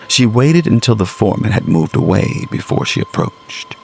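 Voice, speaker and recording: A man narrating a scripted gothic tale in a grave, deliberate manner. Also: lowish voice, sing-song, creaky, a little hiss or hum.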